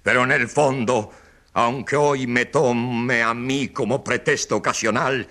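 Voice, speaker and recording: An actor playing a pompous, evasive politician who defends himself with grandiose courtroom oratory in a radio drama. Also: male voice, mid-range voice, fairly even intonation, unhurried, sharp tone. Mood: demagogic, righteous.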